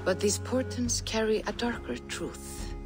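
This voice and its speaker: An actress playing a calm, grave seer in a video game, speaking slowly and prophetically. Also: female voice, fairly high pitch, over music, close to an everyday voice. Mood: ominous. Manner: slow, solemn, prophetic.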